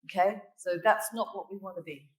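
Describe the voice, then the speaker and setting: A woman's voice: medium pitch, fairly even intonation, a little fast. A woman from Australia with a mixed Australian and British accent, giving a conference talk and telling stories that she tags with "right?" and "okay?". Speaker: conference speaker. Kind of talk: keynote presentation. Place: conference hall. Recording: echoey hall, voice from a distance.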